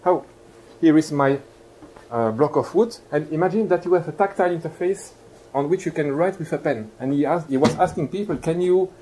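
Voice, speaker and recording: A man's voice: on the high side, brisk, mellow, poor audio. A man with a Belgian accent giving a university lecture, courteous and explanatory, putting questions to his listeners. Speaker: guest lecturer. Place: lecture room. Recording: live lecture recording with clear background noise.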